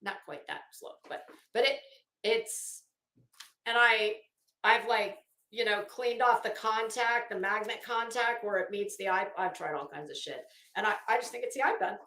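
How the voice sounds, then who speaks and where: A woman's voice: mid-range voice, moderate pace, sharp tone. A woman hosting a casual livestream commentary, with a sarcastic, mocking, profane way of talking. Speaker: livestream host. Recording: echoey untreated room.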